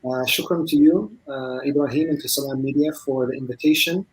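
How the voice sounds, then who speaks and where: A man, a guest, answering in a measured and courteous way. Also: medium pitch, low-quality recording. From United States. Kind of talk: interview answer.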